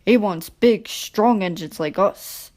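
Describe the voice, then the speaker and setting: A woman's voice: medium pitch, slow, darkish tone. A woman voicing big, boastful storybook steam engines who look down on a smaller one, with emphatic delivery.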